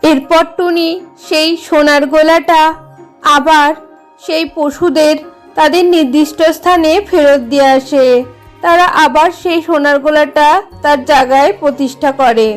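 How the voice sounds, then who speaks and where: A woman's voice: high-pitched, steady tone, moderate pace. A woman narrating a scripted children's story as its storyteller. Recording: music under the voice.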